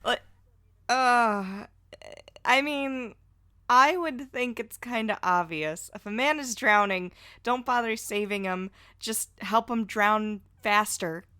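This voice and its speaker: Higher-voiced woman chatting on a podcast. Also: slow, sharp tone, clear, resonant tone, no room sound, clean studio sound. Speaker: podcast guest. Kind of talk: casual opinionated podcast chat.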